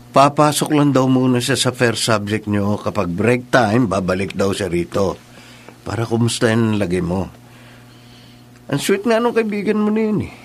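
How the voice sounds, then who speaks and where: An actor playing a friendly, teasing male school nurse in a radio drama. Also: medium pitch.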